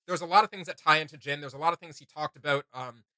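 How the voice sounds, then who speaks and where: A man co-hosting a casual reaction video, talking in an animated, rambling way and searching for words aloud. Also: fairly high pitch, quick pace, no echo. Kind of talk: casual rambling discussion to viewers.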